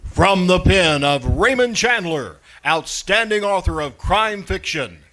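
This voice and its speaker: Grand, high-pitched male radio announcer. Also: animated, measured pace, fairly bright tone. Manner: grand, theatrical. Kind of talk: dramatic old-time radio announcing.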